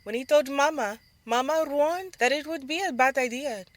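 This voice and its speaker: A woman's voice: high voice, moderate pace, dry recording, voice pushed up, lightly acted. A woman voicing a protective, serious older sister, a young dancer, in an audio drama story. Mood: reminiscing.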